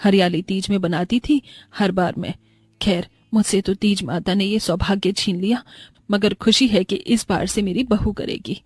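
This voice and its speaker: An affectionate older mother-in-law from a poor village, played by a woman storyteller. She talks in a medium-pitched, homely, reassuring way, as in a cartoon moral story. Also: fairly even intonation, normal speed, a little mellow, slightly rough, no echo, close to an everyday voice.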